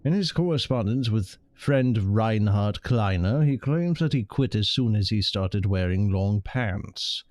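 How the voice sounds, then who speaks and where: A man's voice: on the low side, a little mellow. A man streaming a game, casually commenting and reading text aloud.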